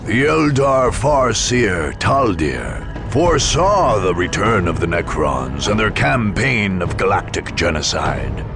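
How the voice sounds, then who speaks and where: A man's voice: fairly low pitch, creaky. A man giving dramatic scripted narration for video game cutscenes in a grave, portentous storytelling manner. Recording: loud music under the voice.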